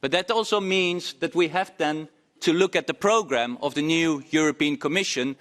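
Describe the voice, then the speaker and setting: A man's voice: fairly high pitch, moderate pace, fairly bright tone. A man, a member of parliament, giving an earnest formal address in a committee meeting.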